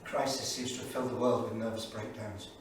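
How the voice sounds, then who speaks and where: An actor playing a grave, anguished English intellectual diarist of 1938, reading aloud in a measured, erudite and emphatic stage manner. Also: male voice, mid-range voice, steady tone, natural voice. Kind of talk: theatrical staged reading of a diary. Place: small theatre studio, indoors. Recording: echoey theatre studio from far off, faint noise.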